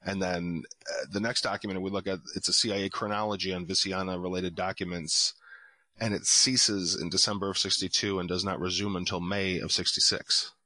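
Deep voiced guy on a podcast, kinda forceful tone, explaining stuff and going thru documents. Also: little pitch movement, fairly quick, dry recording, rough recording. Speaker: podcast host. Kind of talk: discussion reading from documents.

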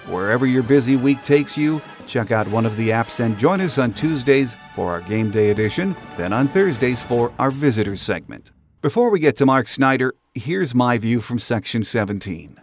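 An American man speaking as a podcast host, delivering a scripted sports monologue. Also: mid-range voice.

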